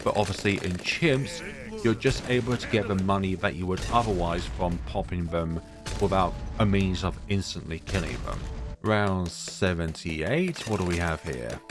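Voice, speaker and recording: A man with a deep voice giving casual, rambling commentary on his own gameplay, full of fillers. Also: normal speed. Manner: rambling, chatty, many fillers. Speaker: gaming YouTuber. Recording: music playing behind voice.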